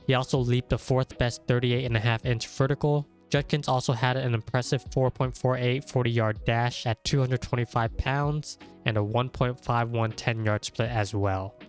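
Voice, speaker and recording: A man with a nasal, congested voice from a cold, methodically reading through a scripted sports breakdown player by player. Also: medium pitch. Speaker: draft analysis creator.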